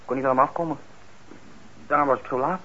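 A man's voice: mid-range voice, fairly even intonation, fast, pushed and bright, natural voice. An actor in a Dutch radio play playing a troubled young man on probation, blunt and hesitant, resentful yet eager. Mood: defensive, bitter. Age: young adult. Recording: muffled, noisy old radio recording.

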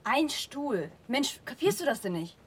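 Uneasy teenage girl, highish swooping voice, emotional, radio drama, noisy background. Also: sing-song, unhurried. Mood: anxious. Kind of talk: naturalistic radio drama dialogue.

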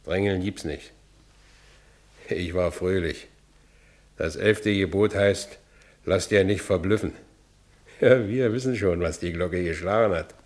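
A man's voice: deep voice, unhurried, long pauses, a little mellow. A man playing a down-and-out middle-aged ex-convict in a radio drama, brooding and defiant, muttering to himself with sudden outbursts. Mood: bucking himself up. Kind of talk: radio drama acting, first-person narration.

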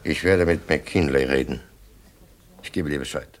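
A laconic male crime syndicate middleman in a radio drama. His voice is extremely low, and he reports tersely, cool and businesslike. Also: moderate pace, slight background noise. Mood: cold, matter-of-fact.

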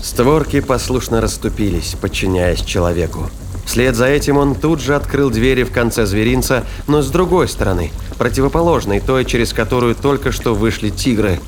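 A man's voice: mid-range voice, moderate pace, a little hiss or hum. A man narrating a sci-fi thriller audio drama in a scripted storytelling style. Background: music and sound effects.

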